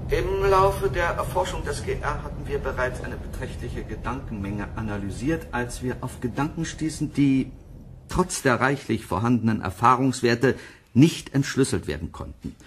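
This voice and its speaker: An actor playing an authoritative male scientist in a radio drama interview, measured, precise and lecturing. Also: medium pitch, husky.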